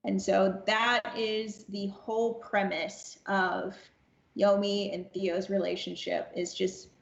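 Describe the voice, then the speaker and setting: A woman speaking as a podcast guest in reflective interview talk, deliberate and emphatic, repeating phrases for stress. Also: mid-range voice, little pitch movement, creaky, reverberant, lo-fi sound. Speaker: audio drama cast member, podcast guest.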